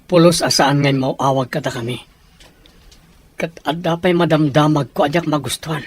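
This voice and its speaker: A man's voice: fairly high pitch, fairly even intonation, moderate pace, husky. A man playing a troubled young husband, questioning insistently in an audio soap drama. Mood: uneasy, insistent.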